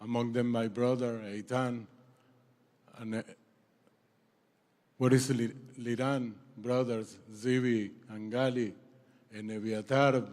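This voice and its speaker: A man from Israel and Argentina, giving a halting, emotional speech as a former hostage, repeating and apologizing for his English. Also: mid-range voice, darkish tone. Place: large banquet hall. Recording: long hall reverb.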